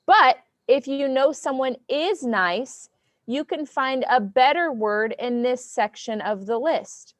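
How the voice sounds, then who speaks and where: Brief: dry-sounding woman teacher, loud and playful, keeps kids on track. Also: high-pitched, expressive, slow, no room sound. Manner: loud and goofy, checks in with "okay?". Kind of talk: classroom lesson to children.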